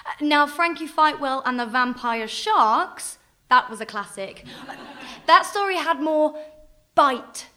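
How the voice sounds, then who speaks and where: A sharp, bright and slightly high voice of a brash young action heroine. She is wry and mocking, punning her way through a radio play as a sardonic adventurer. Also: expressive, a little slow, full character voice.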